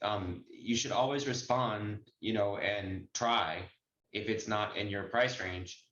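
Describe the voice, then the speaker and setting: A man hosting a conversational Q&A on a group call, explaining and advising with emphasis. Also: fairly low pitch. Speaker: host and notary trainer taking questions. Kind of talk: conversational Q&A advice. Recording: muffled, roomy online call audio.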